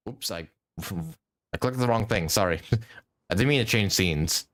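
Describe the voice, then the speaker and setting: A man livestreaming a game with casual commentary, halting and often interrupting himself. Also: lowish voice, lively intonation, fairly bright tone. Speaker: game streamer.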